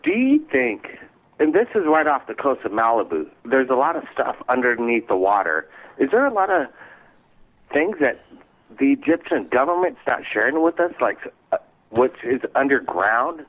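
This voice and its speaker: Excited male radio host on a phone line. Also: mid-range voice, moderate pace. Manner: rambling, excited questioning. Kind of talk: radio interview.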